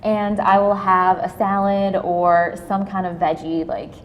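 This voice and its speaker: A woman nutritionist explains food choices clearly and plainly. Her voice rings with long hall reverb. Faint noise hums in the background. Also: mid-range voice, steady tone, unhurried, muffled recording. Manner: clear, instructive. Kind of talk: explanatory interview answers.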